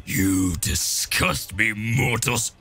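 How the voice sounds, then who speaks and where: A man's voice: on the low side, extremely slow, gravelly, sharp tone, breathy edge, faint music behind, voice pushed down, heavily acted. A man voicing a cruel dragon that mocks and threatens humans, speaking in a menacing, mocking way. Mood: sneering. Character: cruel sneering dragon, scornful of mortals.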